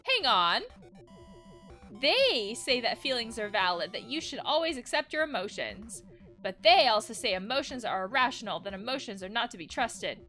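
A woman's voice: fairly high pitch, big pitch swings, moderate pace, pushed and bright, soft background music. A woman voicing an anxious, nagging guard wolf from a game, in a melodramatic, pleading manner. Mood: hurt, indignant. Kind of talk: game dialogue read aloud.

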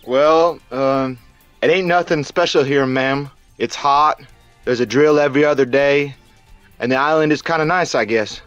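An actor playing an awkward young American soldier giving a halting, plain-spoken interview answer. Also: male voice, medium pitch, fairly bright tone, smooth, no echo, lo-fi sound, faint music behind, natural voice. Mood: awkward, reluctant.

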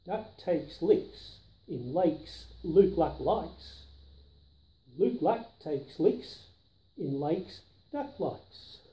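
A man's voice: a little high, animated, drawn-out words, dark tone. A man in his seventies reading a children's rhyming book aloud, placing each tongue-twisting word carefully and with emphasis. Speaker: storyteller grandfather. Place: indoors, living room on a sofa. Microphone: camera a few feet away. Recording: roomy living-room echo.

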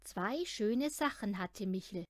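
A woman's voice: mid-range voice, animated, takes it slowly, no echo, studio quality. A woman narrating a children's story from a script, expressively and as if speaking to a young listener. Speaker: audiobook reader.

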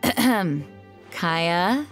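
A woman's voice: fairly low pitch, expressive, very slow, faint music behind, heavily acted. An actress playing a composed, responsible young female knight, polite and formal, in an anime-style game. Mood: mildly reproving.

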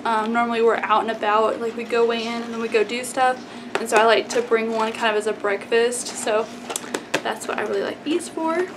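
A young American woman with a fairly high voice talks casually to camera in a chatty vlog style. She peppers her informal talk with "like" and "y'all". The recording has clear background noise from the room. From Texas, United States. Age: 20s. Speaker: vlogger. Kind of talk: casual grocery haul chat to camera. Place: small plain indoor room.

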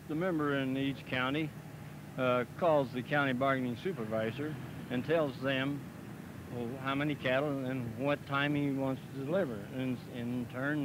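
Plain-spoken farmer, noisy film audio. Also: male voice, medium pitch. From Missouri, US. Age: middle-aged. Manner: plain-spoken and halting, with frequent "uh" and "see?". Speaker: packing plant representative for a farmers group. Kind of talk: filmed outdoor interview. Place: outdoors by a cattle pen. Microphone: handheld mic held by interviewer. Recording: noisy 1970s 16mm film sound, outdoors.

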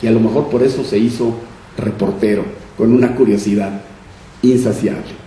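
A man in his sixties with a northern Mexican accent, giving a prepared talk as a panel speaker and reading from notes in an expressive, deliberate way. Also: on the low side. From Monterrey, Mexico. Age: 60s. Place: conference room panel, indoors. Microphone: shared table microphone on stand. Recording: echoey room, distant mic, faint noise.

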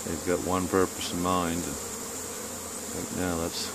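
A beekeeper from Canada narrating his bee yard outdoors in a calm, unhurried way, filming on a handheld phone. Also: low voice, very noisy. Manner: calm, unhurried commentary in short phrases. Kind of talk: casual outdoor video narration. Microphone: handheld phone camera. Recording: clear bee buzzing outdoors.

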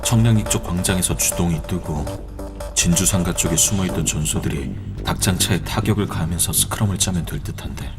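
Man voicing a wary young student leader, very deep voice, hesitant, stammering, music playing behind. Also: a little fast, lightly acted. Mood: brooding. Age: young adult. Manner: hesitant, stammering with old friends. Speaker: student activist. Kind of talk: radio drama acting. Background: background music.

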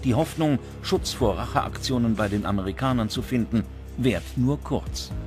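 A man's voice: mid-range voice, normal speed. Male documentary narrator over music. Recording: music bed behind the voice.

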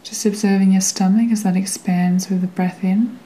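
A woman guiding a meditation in a gentle, soothing way, with a soft, sometimes breathy voice and long pauses between phrases. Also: medium pitch, steady tone, low-quality recording. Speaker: meditation guide. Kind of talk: guided meditation narration.

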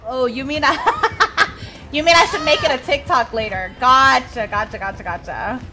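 A woman's voice: sits high, normal speed, background noise. A woman whose first language is Spanish, streaming live gameplay and chatting with her viewers while she plays. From Mexico. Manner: chatty, reads and answers chat while playing.

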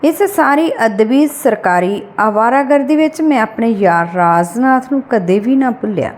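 Woman, slightly high pitch, audiobook narrator, scripted short-story reading. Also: animated, moderate pace.

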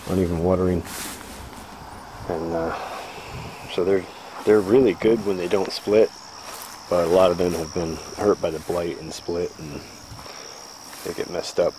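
A man giving a casual walking tour of his vegetable farm, talking easygoing with lots of "uh" fillers. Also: low-pitched. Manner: easygoing, rambling, frequent "uh" fillers. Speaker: farmer giving a garden tour. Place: outdoor vegetable farm fields. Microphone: handheld camera mic, walking. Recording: outdoor camera audio with insect noise. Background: crickets and insects outdoors.

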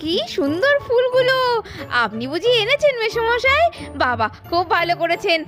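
A woman voicing an anxious, dutiful elder daughter-in-law, animated and exclamatory, in a puppet cartoon. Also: extremely high pitch, normal speed, over loud music. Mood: polite, bright. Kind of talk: Bengali puppet cartoon narration and dialogue.

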